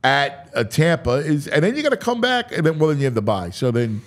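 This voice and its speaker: A man's voice: on the high side, big pitch swings, fairly quick. A man hosting a casual sports talk show, speaking emphatically and conversationally and repeating phrases for emphasis.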